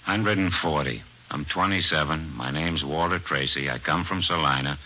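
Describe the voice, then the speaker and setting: Sarcastic young crook in his twenties, extremely deep but sharp-edged voice, old radio recording with faint hiss. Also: normal speed, dull audio, full character voice. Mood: sullen, sarcastic. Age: 20s. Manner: sneering, evasive, sarcastic. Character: sullen young male burglar suspect, defiant. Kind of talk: 1940s-50s radio drama acting.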